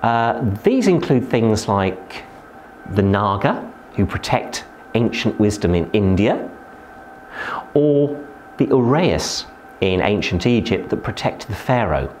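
A man, a zookeeper giving an educational talk to camera inside a reptile house, explaining with lively emphasis. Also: slow pace, slight background noise. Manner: lively, emphatic explainer with sudden pitch rises. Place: indoor reptile house with lit terrariums.

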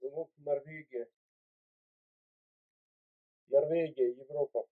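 A man in his forties speaks with a slightly raised pitch, offering warm, fatherly advice in a relaxed video chat. His voice comes through a thin, phone-like webcam line from a small indoor room. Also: takes it slowly. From Uzbekistan. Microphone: webcam mic. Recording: tinny phone-like webcam audio.

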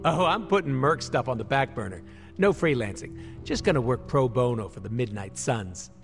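A man's voice: mid-range voice, normal speed, soft background music, close to an everyday voice. A man playing an irreverent, wisecracking mercenary antihero in a video game, sarcastic and flippant. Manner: sarcastic, flippant, cartoonishly animated. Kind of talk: comic video game character acting.

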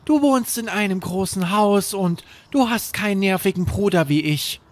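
A man's voice: high-pitched, normal speed, voice pushed up, lightly acted. A man voicing young, earnest, slightly worried boys with childlike simplicity in a children's bedtime story.

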